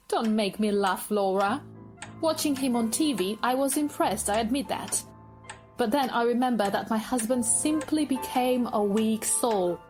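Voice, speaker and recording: Actress as scornful first lady: high voice, haughty, mocking, audio drama with faint music. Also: close to an everyday voice. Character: scornful, cynical wife of a president.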